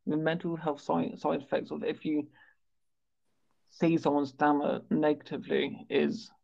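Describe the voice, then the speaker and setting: A man with a stammer who speaks haltingly, repeating words and sounds as he hosts a conversational interview. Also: fairly high pitch, little pitch movement, gravelly, darkish tone. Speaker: interviewer and stammering awareness campaigner. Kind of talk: conversational interview on a video call. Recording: dull, lo-fi video call audio.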